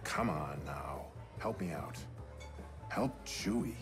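A man's voice: very deep voice, big pitch swings, slow pace, vocal fry, full character voice. An actor playing a roguish, easygoing male smuggler in a video game, casual and cajoling. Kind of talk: cinematic video game acting. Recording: music under the voice.

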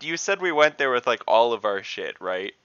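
Man, game player, hugely varied pitch, casual amused chat, dull call audio. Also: big pitch swings, normal speed, fairly bright tone, clear, resonant tone, no echo. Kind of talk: casual chat, playing along in character. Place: indoor room on a group video call.

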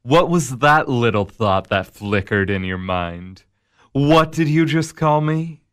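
A slow, mocking Devil. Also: male voice, medium pitch, animated, fairly bright tone, clean tone. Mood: sly. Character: the Devil, smooth, amused male tempter. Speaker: narrator and tempter. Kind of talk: radio drama acting.

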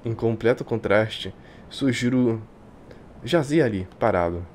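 A man with a Brazilian accent reading a visual novel aloud like a streamer, expressive and colloquial. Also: on the low side, measured pace, slight background noise. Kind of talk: dramatic live reading with casual asides. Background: game music.

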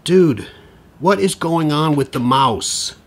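An actor playing a middle-aged divorcing suburban dad in a podcast drama, profane, sardonic and rambling. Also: male voice, a little high, lively intonation, takes it slowly, full character voice. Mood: frantic, pleading. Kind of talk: podcast audio drama acting.

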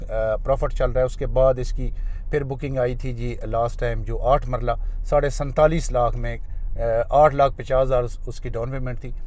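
A man with a Pakistani Urdu accent talks like a real estate agent, pitching plots in a chatty, halting way full of fillers. He narrates casually, as if touring a site, and his voice sits in a middle register for a man. The sound is recorded inside a moving car. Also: a little mellow. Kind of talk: casual narrated drive-through tour.

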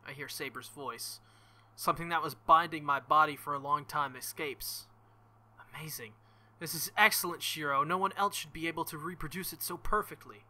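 A man's voice: a little high, moderate pace, sharp tone. A young man reading a visual novel aloud as a let's play host, with joking, crude asides between passages.

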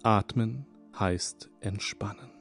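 A man leading a guided hypnosis session, speaking in a calm, soothing, repetitive manner. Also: lowish voice, gravelly. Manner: slow, soothing, hypnotic, repeats phrases. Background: soft background music.

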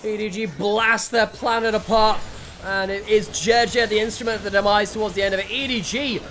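A man casting a live esports match with lively, punchy play-by-play, his voice a little ropey from overuse. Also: sits high, a little fast, slight background noise. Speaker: esports caster. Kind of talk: play-by-play commentary.

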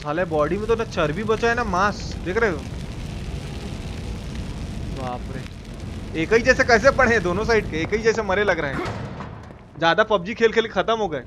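A man's voice: high voice, normal speed, pauses often, noisy background. A man with a Bihari accent giving colloquial, slangy live gameplay commentary, playfully repeating his phrases. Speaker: game streamer.

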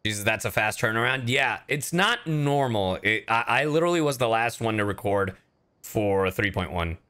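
Animated, bright-voiced male streamer. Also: lowish voice. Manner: casual, animated, halting mid-thought. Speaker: streamer and voice actor. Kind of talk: casual livestream chat over gameplay.

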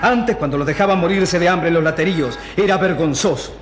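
A man's voice: sits high, fairly quick, in a large hall, slight background noise, close to an everyday voice. An actor playing an earnest, indignant man of twenty protesting in a radio drama. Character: earnest, indignant twenty-year-old man defending the poor.

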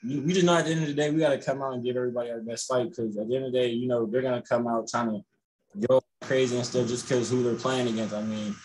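A young man, a college basketball player, answering reporters on a video call after a game, relaxed and full of "you know" fillers. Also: mid-range voice, fast talker, a little hiss or hum, low-quality recording. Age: young adult. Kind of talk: postgame press conference answers. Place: indoors in front of a logo backdrop.

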